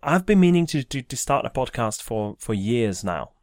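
A man's voice: mid-range voice, sing-song, moderate pace, a little mellow. A young man from Hungary, an English speaker, hosting a casual podcast chat, hesitant with many restarts. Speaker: podcast host.

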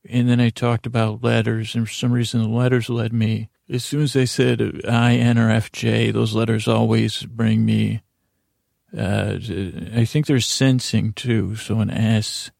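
Gravelly, creaky man rambling softly for bedtime. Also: mid-range voice, level tone, dry recording, clean studio sound. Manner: meandering, halting, self-interrupting, trails off on tangents. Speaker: podcast host. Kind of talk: rambling bedtime podcast monologue.

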